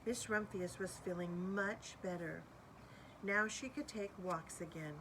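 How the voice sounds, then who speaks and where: Woman doing a kids read-aloud, expressive, chats to the listeners, bit of background noise. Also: on the low side, a little slow. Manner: expressive, questioning the listeners as she reads. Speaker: storybook reader. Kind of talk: picture book read-aloud with asides to young listeners.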